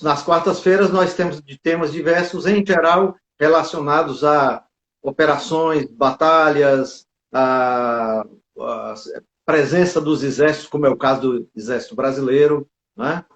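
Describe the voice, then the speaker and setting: Host, man, slightly high voice, chatty with fillers, roomy dull web-call sound. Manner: chatty, lots of fillers and asides. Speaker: live stream host. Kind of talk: hosting a live video interview. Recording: echoey, dull lo-fi stream audio.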